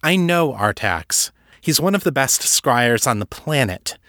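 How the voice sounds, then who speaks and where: A man performs an impatient woman detective from a novel, lifting his pitch. The character is sharp and skeptical, pressing each point with emphatic, challenging phrasing in a dry, close recording. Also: big pitch swings, unhurried, dry recording, exaggerated voice.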